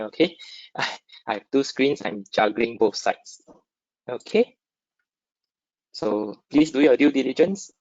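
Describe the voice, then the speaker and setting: A Singaporean-accented man gives an informal webinar talk in a conversational, interactive way, tossing in short check-in questions. His voice sits at a medium pitch, captured dry and slightly dull over an online connection. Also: darkish tone, no echo. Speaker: webinar speaker, stock dealer. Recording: dull lo-fi online call audio.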